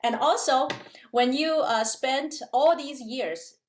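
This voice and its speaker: A woman's voice: high-pitched, takes it slowly, roomy sound, slight background noise. A woman professor from China, explaining things at an easy pace in a casual interview, with many fillers. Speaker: physics professor, guest.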